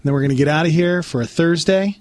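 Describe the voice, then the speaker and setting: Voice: medium pitch, fast talker. A radio show co-host chatting casually on air in short, conversational remarks. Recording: loud music behind the voice. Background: loud music.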